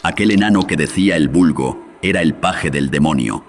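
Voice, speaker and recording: A man's voice: rumbling low, moderate pace. A man narrating a scripted horror radio drama in a dramatic storytelling style, with a processed studio voice. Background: loud atmospheric music.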